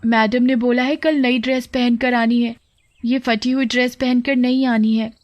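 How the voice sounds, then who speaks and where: A woman's voice: on the high side, level tone, normal speed, a little mellow, no room sound, muffled recording, lightly acted. A woman voicing an insistent, whiny young schoolgirl in a cartoon story. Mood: insistent, complaining.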